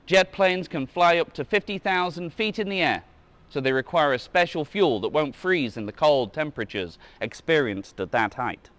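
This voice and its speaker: A man's voice: on the high side, expressive, normal speed, fairly bright tone. A man reading a children's book aloud as a museum guide, adding casual asides and now and then correcting his slips. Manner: stumbles over words and corrects himself.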